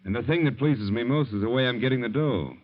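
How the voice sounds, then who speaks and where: An actor playing a tough ex-police detective who talks in blunt, hard-boiled slang, in 1940s radio acting. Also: male voice, mid-range voice, fast talker, lightly acted. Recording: muffled 1940s radio broadcast.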